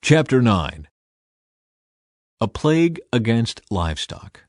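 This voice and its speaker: A man's voice: mid-range voice, very animated, takes it slowly, darkish tone, dry recording, clean studio sound. A man reading scripture aloud as a scripted audiobook narration.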